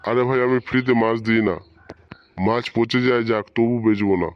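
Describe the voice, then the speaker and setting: A man's voice: mid-range voice, fairly even intonation, fairly quick, smooth, muffled recording, lightly acted. A man voicing a shrewd, firm middle-aged fish merchant and father-in-law in a cartoon comedy, businesslike in manner. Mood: firm, unyielding.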